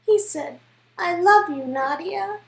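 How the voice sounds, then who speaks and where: A woman's voice: extremely high pitch, takes it slowly, echoey room, exaggerated voice. A woman playing a distraught young woman, insistent and emphatic, in an amateur reading of game dialogue. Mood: pleading, insistent. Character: distraught, frantic young woman obsessed with her vanished boyfriend.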